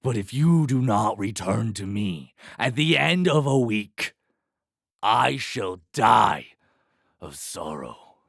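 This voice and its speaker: Man voicing a gravelly, lovesick storybook beast. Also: on the low side, very animated, a bit bright, no echo, clean studio sound, full character voice. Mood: solemn, sorrowful. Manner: slow, swelling, dramatic pleading. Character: lovesick, grieving male beast pleading with his beloved. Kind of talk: audio storybook character acting.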